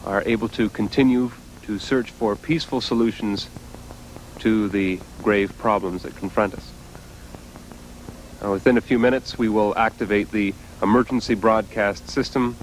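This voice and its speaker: An actor as a male government spokesman in a TV drama, with a lowish voice. He reads an official statement soberly and deliberately, over a faintly noisy broadcast. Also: close to an everyday voice. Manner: deliberate, formal. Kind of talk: formal televised official statement.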